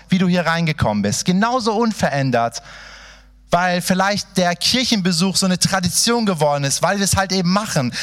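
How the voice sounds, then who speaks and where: A passionate preacher, a man in his thirties born in Iran, talks to his church in a chatty, direct way. His voice sits a little high for a man, and he turns often to the listeners before him. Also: fairly bright tone. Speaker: pastor. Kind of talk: sermon introduction.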